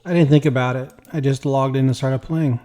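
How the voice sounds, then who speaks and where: A man with a slightly raspy, weak voice, tired after work, chatting casually while playing a game. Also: mid-range voice, fairly quick. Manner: rambling, relaxed, talks while playing. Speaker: gaming YouTuber. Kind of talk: casual gameplay commentary.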